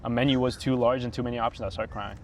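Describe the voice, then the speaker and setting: A man's voice: medium pitch, fairly even intonation, rapid-fire, a little hiss or hum. Chatty young man from Brazil. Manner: talkative, rambling, lots of "like" fillers. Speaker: podcast guest. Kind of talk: casual chat.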